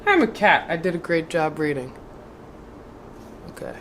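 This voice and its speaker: A vlogger who reads a book passage aloud in a raised, measured voice, then talks casually and bluntly with plenty of swearing and rambling fillers. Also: medium pitch, sing-song, fairly bright tone, a little hiss or hum. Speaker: video maker reading a book aloud.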